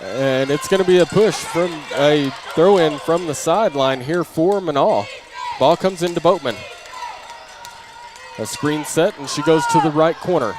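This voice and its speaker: A man's voice: a little high, unhurried, a crowd in the background. A man doing live play-by-play basketball commentary in short, clipped running calls, as a sports announcer. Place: indoor gymnasium during a basketball game. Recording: noisy live gym broadcast.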